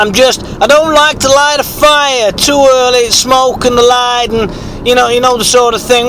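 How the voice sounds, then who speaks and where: Man putting on a high-pitched husky bigfoot hunter. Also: fairly bright tone, slight background noise, rough recording. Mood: rambling, scornful. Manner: halting, repetitive, sweary, rambling. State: sore throat, coughing. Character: eccentric rustic foul-mouthed bigfoot hunter telling his tale. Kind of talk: rambling mock-documentary storytelling.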